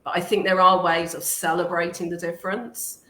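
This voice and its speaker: Low-voiced teacher woman in an echoey room. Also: level tone, normal speed, sharp tone. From England. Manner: thoughtful, hesitant, many ums. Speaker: guest, teacher. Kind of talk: casual interview talk. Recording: echoey room with faint noise.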